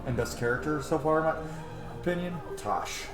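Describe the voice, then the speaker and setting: A man's voice: on the high side, lively intonation, brisk. A man in his thirties giving casual, rambling, sweary let's play commentary as a gaming streamer. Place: small home room, indoors. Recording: echoey room with game music. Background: game music and sounds.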